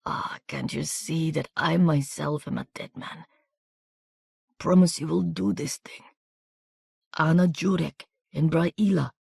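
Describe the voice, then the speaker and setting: Narrator doing a wounded soldier, soft foreign accent, faint whispery murmur, desperate, dry close sound. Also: female voice, low-pitched, fairly even intonation, slow, pauses often, dry recording, full character voice. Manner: weak breathy murmur, pleading.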